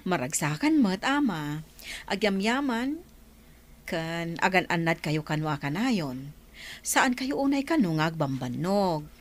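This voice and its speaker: An actress playing a caring young granddaughter in a radio drama, with a gentle, solicitous manner. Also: female voice, lowish voice, big pitch swings, slow, full character voice. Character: sweet, caring young granddaughter fussing over an elder. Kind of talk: Ilocano radio drama acting.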